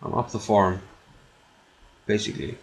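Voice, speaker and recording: Guy w icelandic accent streaming a game, gravelly fry, mumbles n repeats himself, bit of noise and clicks. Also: lowish voice, steady tone, measured pace, poor audio. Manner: muttering, repeats his own phrases. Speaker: chess streamer. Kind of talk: casual live game commentary, thinking aloud.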